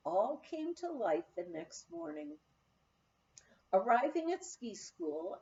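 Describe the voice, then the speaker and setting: Slow, lively high-voiced woman storyteller. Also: highs cut off. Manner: expressive storytelling, acting out quoted speech. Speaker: audiobook reader. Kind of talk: animated read-aloud of a humorous memoir.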